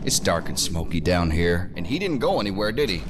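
An actor playing a terse, commanding tactical leader of federal agents in an audio drama. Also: male voice, low-pitched, big pitch swings, brisk, background noise. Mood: dismissive. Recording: prominent dramatic music behind.

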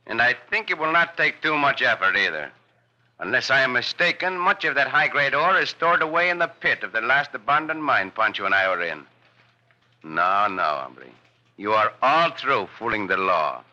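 A gallant mexican cowboy hero, really bright forceful voice, lively swooping pitch, old radio western. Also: male voice, moderate pace. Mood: confident, taunting. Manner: courtly, theatrical phrasing. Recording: noisy, muffled vintage radio recording.

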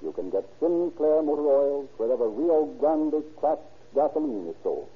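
A man narrating and announcing in formal, declamatory 1930s radio style, with a rough, grainy voice. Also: a little high, expressive, moderate pace, slight background noise. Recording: muffled vintage 1930s radio recording.

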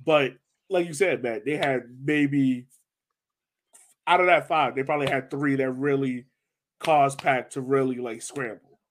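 A man with a medium-pitched voice chats as a sports podcast host. He talks in a loose, informal way, peppered with slang, as if breaking down a soccer match with friends. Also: fairly even intonation, unhurried. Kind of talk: casual chat about a soccer match.

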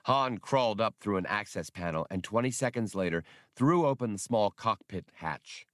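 A man's voice: on the low side, expressive, normal speed, a bit bright, no room sound, clean studio sound. A man reading scripted fiction as an audiobook narrator.

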